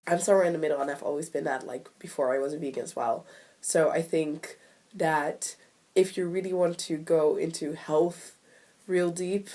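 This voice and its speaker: A woman's voice: sits low, darkish tone. A young woman vlogging to camera in a rambling, self-deprecating way, her voice a little nasal from a cold. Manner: rambling, self-deprecating, many ums and restarts. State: recovering from a cold, slightly nasal. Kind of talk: casual chat to camera.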